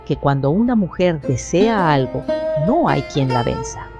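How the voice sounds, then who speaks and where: A woman acts out a commanding young captive of a genie in an audio drama. Her voice is very low with a gravelly creak, imperious and deliberate. Prominent music plays behind her. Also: very animated, exaggerated voice. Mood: boastful, scornful.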